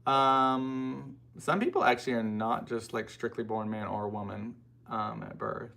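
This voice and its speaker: Gravelly-voiced American man chatting casually. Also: medium pitch, a little slow, bright, forceful tone, slight background noise. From United States. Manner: chatty, informal, rambling with frequent asides. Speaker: livestream host. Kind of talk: casual live chat, answering and reading out viewer comments.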